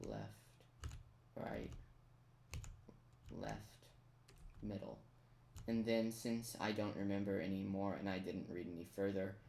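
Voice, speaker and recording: A man giving a casual, step-by-step game walkthrough with playful asides. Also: on the low side. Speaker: gamer giving a tutorial. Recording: roomy echo, noise, keyboard clicking. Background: computer keyboard typing and clicks.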